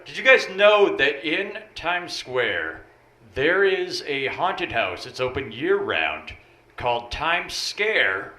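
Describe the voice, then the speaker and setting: A man in his thirties with a deep voice, telling dry, understated stand-up one-liners on a bar stage. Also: very animated, slow pace. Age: 30s. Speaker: stand-up comic. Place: small bar comedy stage. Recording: roomy bar with crowd noise. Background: audience laughter.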